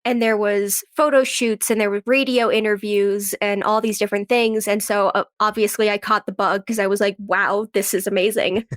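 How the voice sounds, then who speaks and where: A woman's voice: mid-range voice, level tone, normal speed, hardly any pauses, no room sound, clean studio sound. A woman guest on an interview, telling personal stories in a chatty, informal way and voicing little quoted remarks. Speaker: podcast guest, actress and writer. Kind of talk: casual chat, personal storytelling.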